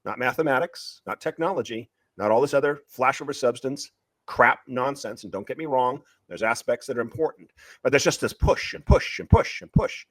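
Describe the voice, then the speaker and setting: Man, author being interviewed, very quick pace, emphatic, opinionated, repetitive for stress, dry close sound. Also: medium pitch, fairly bright tone, no room sound. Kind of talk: passionate conversational pitch of a book series.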